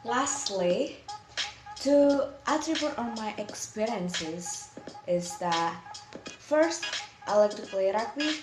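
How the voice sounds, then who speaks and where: A woman's voice: mid-range voice, animated, noisy background. Student woman, roomy sound. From Indonesia. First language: Indonesian. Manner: halting, searching for words, simple non-native phrasing. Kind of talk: school video presentation. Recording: roomy echo with music behind.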